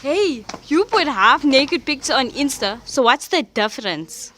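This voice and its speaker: A woman's voice: high voice, very animated, measured pace, a bit bright, slight background noise, heavily acted. A woman playing a smug, taunting teenage rival in an audio drama.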